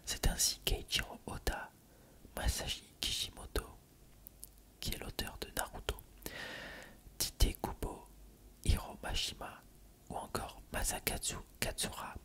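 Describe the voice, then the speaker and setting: A person reading an encyclopedia article aloud for ASMR in a breathy, unvoiced whisper. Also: a little slow, pauses often, fairly bright tone. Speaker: ASMR reader.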